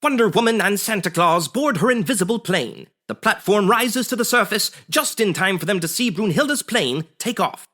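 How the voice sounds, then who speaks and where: A man's voice: high-pitched, lively intonation, normal speed, few pauses, bright, forceful tone, exaggerated voice. A man playing a melodramatic superhero serial narrator in the style of old-time radio. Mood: urgent.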